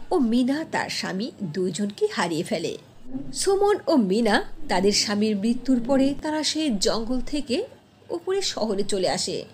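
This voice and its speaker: A woman's voice: a little high, slight background noise. A woman narrating a scripted cartoon story as the storyteller.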